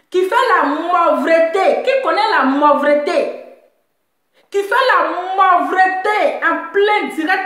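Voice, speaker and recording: A woman's voice: very high voice, a bit bright. A woman with a Central African French accent ranting on a live stream, mocking and laughing, repeating her points. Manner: scolding, laughing, repeats phrases. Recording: very echoey room.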